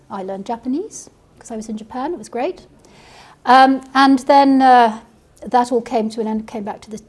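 A woman's voice: a little high, a little mellow, breathy edge. A middle-aged woman with a Kenyan accent, a barrister telling her story on a panel in a seminar room, wry and self-deprecating. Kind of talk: panel conversation.

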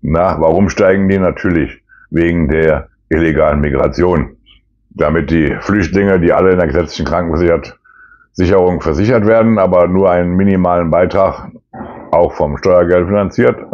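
Guy in his 50s w really deep voice, grumbling bluntly about politics to camera, kinda dull lo-fi audio. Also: darkish tone. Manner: blunt, colloquial, disapproving. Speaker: politician hosting a weekly news commentary. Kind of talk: informal political commentary to camera. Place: small plain indoor room.

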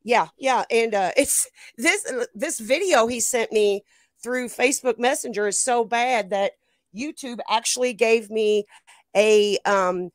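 A woman's voice: on the high side, no room sound, studio quality. A woman co-hosting a casual online stream, rambling emphatically and giggly as she talks with live chat. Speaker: livestream co-host.

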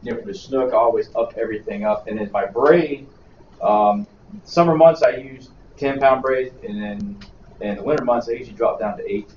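A man's voice: medium pitch, moderate pace, a little hiss or hum. A man, a charter captain, answering a panel question casually with many fillers. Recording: echoey room, far from mic, dull.

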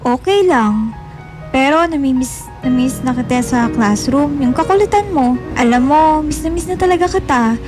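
Woman acting a very high-pitched, clingy lovesick college girl. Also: normal speed, lightly acted. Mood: eager, needy. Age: young adult. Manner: whiny, insistent, emotional. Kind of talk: radio drama acting. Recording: music bed under the voice.

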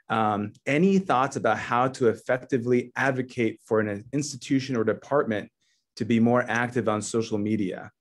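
A man in his thirties moderating a medical webinar over a video call, relaxed and full of "you know.". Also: medium pitch. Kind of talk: casual panel moderation. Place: office at home or work, indoors.

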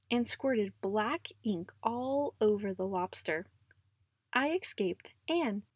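Woman reading as unflappable grandpa, slightly husky. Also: a little high, dry recording, studio quality, exaggerated voice. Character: unflappable old grandfather spinning tall tales. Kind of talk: storybook read-aloud.

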